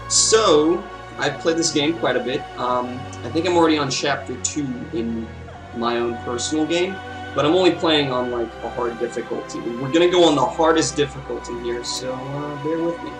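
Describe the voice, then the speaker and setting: A young man hosts a casual video game playthrough. He talks informally and hesitantly in a mid-pitched voice, slightly distant from the mic, under very loud game music. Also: normal speed. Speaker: gaming video host. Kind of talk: casual let's play commentary.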